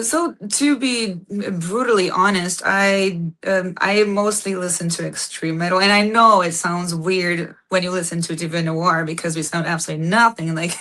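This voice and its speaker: A young woman from Poland, a band singer chatting in an interview, often pausing on "uh" and "um" as she looks for words. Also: mid-range voice, a bit bright. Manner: frequent "uh" and "um", searching for words. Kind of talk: casual interview chat.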